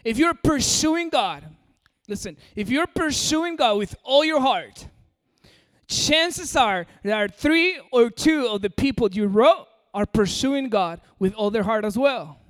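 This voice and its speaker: A man's voice: extremely high pitch, lively intonation, unhurried, fairly bright tone. A man from Peru with an American-sounding accent, preaching a sermon and coaxing the audience directly. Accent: American-sounding English. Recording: long hall reverb.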